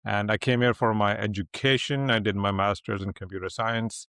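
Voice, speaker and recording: A man's voice: on the low side, steady tone, normal speed, fairly bright tone, clean tone, no echo, studio quality. A man in his thirties from India with an Indian accent, a public speaking coach talking as a podcast guest in a blunt, rambling way with plenty of fillers. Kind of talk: casual interview storytelling.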